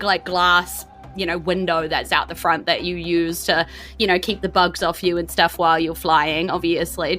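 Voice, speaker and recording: A woman's voice: on the low side, fairly bright tone. A woman with a New Zealand accent running a tabletop game, improvising narration in a loose, conversational way. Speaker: game master.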